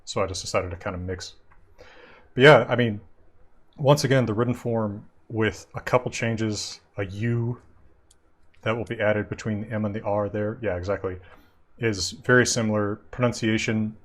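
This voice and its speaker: A man hosting a casual video call, patiently explaining grammar and reading old sentences aloud slowly. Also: fairly low pitch, steady tone. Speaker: host.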